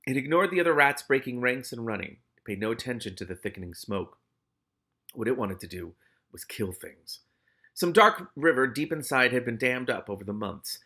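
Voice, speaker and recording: A man's voice: mid-range voice, very animated, moderate pace, bright, forceful tone. A man hosting a read-aloud of a novel, reading dramatically and breaking off into casual, exclamatory, sweary commentary. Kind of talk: expressive audiobook-style reading with casual reactive commentary.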